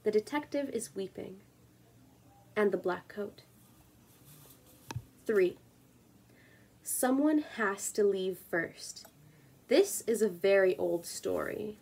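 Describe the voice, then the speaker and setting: A young woman you might find in her plant-filled bedroom, reading poems slowly to a camera in a soft-edged, mellow voice. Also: medium pitch, vocal fry, slight background noise. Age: 20s. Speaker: poetry reader and video creator. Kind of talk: spoken word poetry reading with casual introduction. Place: small room at home, indoors.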